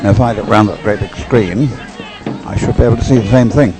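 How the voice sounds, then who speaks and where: A man's voice: fairly low pitch, fairly quick, over music, a crowd in the background. A man giving live race commentary in a rambling, hesitant, informal way, explaining as it goes. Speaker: sports commentator.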